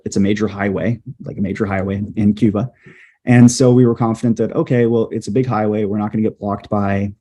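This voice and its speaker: Guest, male storm chaser, low mellow voice, animated storytelling with lots of ums. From USA. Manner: animated, hesitant fillers, quickens at times. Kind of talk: casual interview storytelling.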